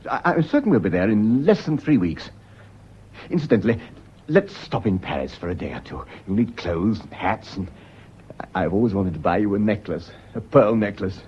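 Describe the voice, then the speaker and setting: British schoolmaster, animated intonation, persuasive, reassuring, nervy edge, radio drama, muffled old recording. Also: male voice, lowish voice, moderate pace, husky. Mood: coaxing, impatient. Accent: British English. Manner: persuasive, reassuring, by turns tender and exasperated. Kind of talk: British stage-style radio drama acting.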